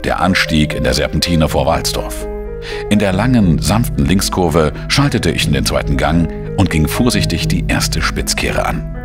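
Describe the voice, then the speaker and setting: An actor playing a world-weary male investigator, narrating his case in a measured, introspective way for a crime audio drama. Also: extremely low pitch.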